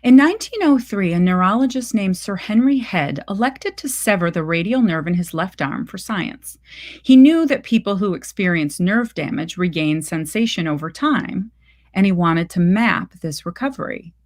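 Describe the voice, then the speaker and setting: A woman reading a literary short story aloud in measured, deliberate phrases. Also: medium pitch, animated.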